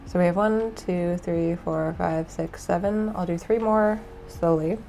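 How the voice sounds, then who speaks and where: A woman with a stuffy, cold-affected voice, explaining a knitting tutorial in a relaxed way with frequent ums. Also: lowish voice, unhurried, gravelly, soft background music. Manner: relaxed, many ums and uhs. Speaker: knitting instructor.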